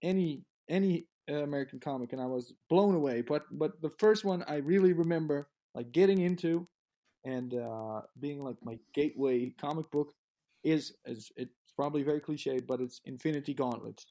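A man from Europe speaks as an interview guest. His voice is warm and mellow and sits a bit high for a man. He talks in a halting way, restarting his sentences and laughing easily. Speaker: guest comic creator. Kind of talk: casual interview chat.

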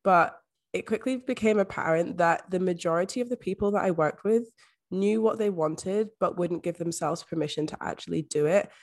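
A young woman from Scotland with a soft Scottish accent, a podcast guest speaking openly and reflectively in a casual interview. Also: on the low side, moderate pace. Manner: open, reflective, uses "like" often. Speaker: podcast guest, mindset coach.

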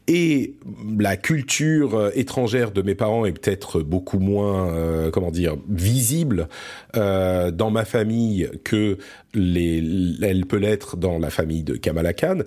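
A man's voice: sits low, measured pace, mellow. A man from France hosting a podcast, chatting casually about pop culture and often searching for words as he restarts his sentences. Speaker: podcast host.

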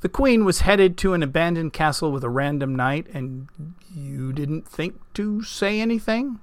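A man's voice: a little high, a little slow, a bit bright, no room sound. A man voicing a weary, sarcastic knight with a modern outlook in an audiobook, asking plain, pointed questions.

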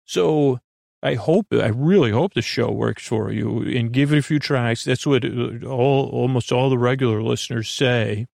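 A man with a creaky voice hosting a sleep podcast, talking in a meandering, hesitant monologue that trails off into tangents. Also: mid-range voice, a little slow, a little mellow, dry recording, studio quality. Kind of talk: rambling monologue to help listeners sleep.

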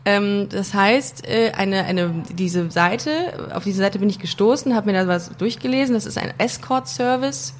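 A woman hosting a podcast in relaxed, jokey chat. She talks freely at a mid pitch, often restarting her sentences. A faint hiss sits under her voice. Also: normal speed, few pauses. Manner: chatty and jokey, with frequent fillers and restarts. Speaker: podcast host. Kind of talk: casual interview chat.

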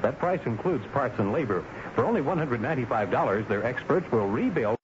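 A man reading a local radio ad in a brisk, plain way. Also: medium pitch, expressive, background noise. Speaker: radio ad announcer. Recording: dull old radio recording with music.

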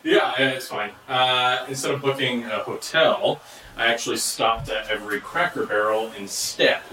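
A man's voice: medium pitch, normal speed, bright, forceful tone, close to an everyday voice, low-quality recording. A man playing a joking, skeptical friend with a sarcastic, teasing manner in a casual audio drama. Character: joking, skeptical buddy visiting his friend. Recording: faint background noise.

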